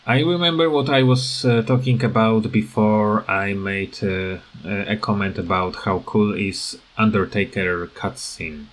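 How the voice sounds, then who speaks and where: Slow, halting man rambling about a game. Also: lowish voice. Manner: halting, searching for words. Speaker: gaming video creator. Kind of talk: unscripted rambling game commentary.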